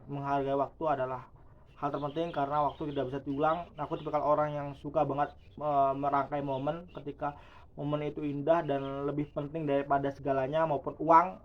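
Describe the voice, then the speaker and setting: Man, late twenties, casual vlog talk, reflective, a bit high-pitched. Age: 20s. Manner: chatty, reflective, addresses viewers as guys. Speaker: YouTuber. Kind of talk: casual talking-head vlog monologue. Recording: dull lo-fi sound.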